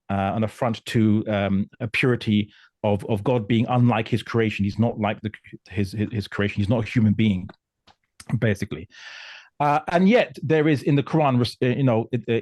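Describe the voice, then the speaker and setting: Male discussion guest from Portugal; emphatic, halting explainer, mid-pitched, close dry sound. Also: a little mellow, dry recording. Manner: emphatic, halting, often repeating words. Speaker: guest commentator on religion and politics.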